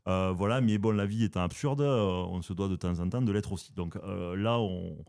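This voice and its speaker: Man, southern French accent, very low pitch, mellow dark tone, podcast host, hesitant and playful. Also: moderate pace, gravelly. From southern France. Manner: hesitant, many fillers, playful. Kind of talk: casual podcast chat.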